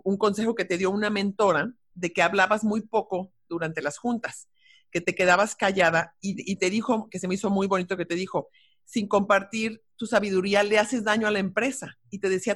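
A woman with a Mexican accent hosting a podcast interview in a conversational style. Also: medium pitch.

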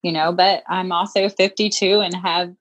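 A woman's voice: fairly low pitch, steady tone, moderate pace, fairly bright tone, lo-fi sound. A woman in her fifties talking as a guest in a casual interview, chatty and reflective, with many fillers. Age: 50s. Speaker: podcast guest, boutique owner.

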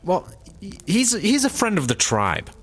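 A man's voice: sits high, huge pitch swings, a little slow, fairly bright tone, heavily acted. A man playing a nervous, polite, naive guy in a comedy audio drama, hesitant and apologetic. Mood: nervous bluffing.

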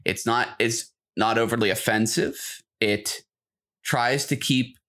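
Casual man podcast co-host, mid-pitched voice. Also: slow pace, a bit bright. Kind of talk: casual discussion chat.

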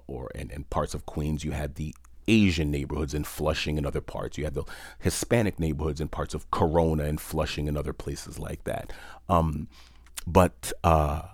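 Man from New York, French his first language, very low voice, dry close sound, reflective storyteller. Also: darkish tone, no echo. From New York City. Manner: reflective, measured, conversational with fillers. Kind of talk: personal storytelling talk.

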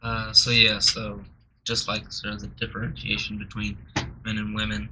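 A man's voice: lowish voice, monotone, moderate pace, very noisy. A young man in a group discussion who hedges and fills his speech with "like". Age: young adult. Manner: hedging, fills with "like". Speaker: roundtable participant.

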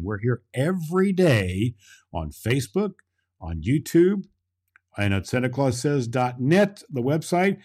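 A man's voice: lowish voice, darkish tone. A man playing a jolly Santa Claus who hosts a children's story show in a kindly, folksy, rambling way. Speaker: host and storyteller. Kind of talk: children's podcast hosting and story reading.

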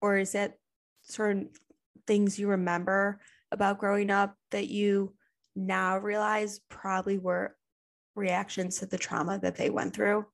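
A woman's voice: medium pitch, completely flat delivery, a little slow, creaky. A woman hosting a podcast, reading a scripted introduction and then asking long, earnest interview questions. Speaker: podcast host.

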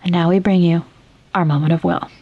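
A woman reads as a podcast host in a fairly low voice with very level intonation. Her delivery is scripted, measured and clearly enunciated. The sound is dry, with little room in it. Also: fairly even intonation, no room sound, dull audio. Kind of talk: scripted narration, podcast outro and credits.

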